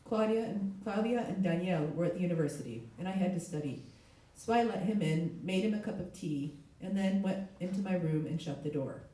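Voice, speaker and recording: A middle-aged woman, a literary translator, reading aloud at a live event, her voice hoarse with a cold. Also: lowish voice, brisk, few pauses, warm tone. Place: echoey indoor event space. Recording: very echoey room, distant mic.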